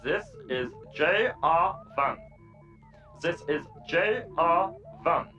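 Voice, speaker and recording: An actor playing a pompous, scheming cartoon villain with a precise, haughty manner. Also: male voice, fairly high pitch, animated, very slow, pushed and bright, lo-fi sound, soft background music, exaggerated voice. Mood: coolly menacing. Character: pompous scheming villain with a cold, logical mind.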